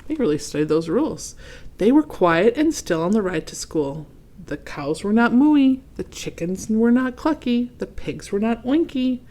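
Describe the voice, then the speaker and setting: A woman reading a children's storybook aloud expressively, pausing to ask her young listeners questions and add comments. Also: on the high side, lively intonation, dark tone. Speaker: read-aloud host.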